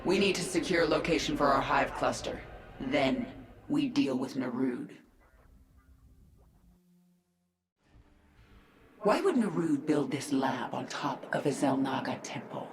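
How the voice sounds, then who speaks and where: A woman's voice: very deep voice, normal speed. An actress playing a grim, commanding queen of an alien swarm in a video game, brooding and intense. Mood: commanding, resolute. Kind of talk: dramatic video game cutscene acting. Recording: echoing, reverberant game audio.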